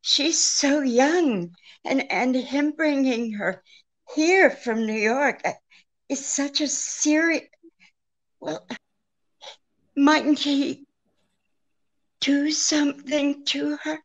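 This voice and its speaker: An actress playing an anxious, pleading, genteel female client in an old-time radio drama, earnest and halting. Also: high-pitched, full character voice. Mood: fearful, pleading. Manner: hesitant, halting, breathlessly earnest. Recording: dull lo-fi radio-play recording.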